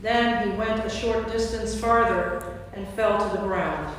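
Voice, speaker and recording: A woman's voice: medium pitch, steady tone, measured pace. A woman narrator reading scripture from a script in a staged church reading. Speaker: narrator, priest. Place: church, recorded from a distance. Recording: very echoey church, distant mic, faint noise.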